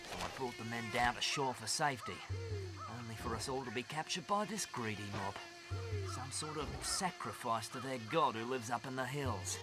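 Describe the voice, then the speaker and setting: An actor playing a wounded, stranded soldier in a video game cutscene, wry and matter-of-fact. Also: male voice, medium pitch, natural voice. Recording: loud jungle insect noise behind.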